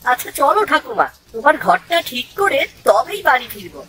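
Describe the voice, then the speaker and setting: A woman's voice: high-pitched, sing-song, fairly quick, pushed and bright, heavily acted, poor audio. A woman voicing a brave, practical village boy, a bossy and reassuring older brother in a children's cartoon. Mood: helpful.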